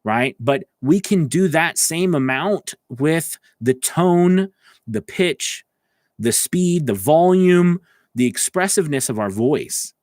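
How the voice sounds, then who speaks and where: A man's voice: on the high side, expressive, takes it slowly, a little mellow, no echo, studio quality. A man speaking as a voice acting coach on a live stream, talking informally and directly to listeners in an encouraging, conversational way. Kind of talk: informal instructional talk to livestream viewers.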